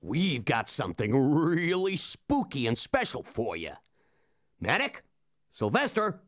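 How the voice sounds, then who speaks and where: A woman playing a bossy, excitable male inventor obsessed with space in a children's puppet comedy. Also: deep voice, slow pace, heavily acted. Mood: excited. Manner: bossy, exclaiming, calls others idiots.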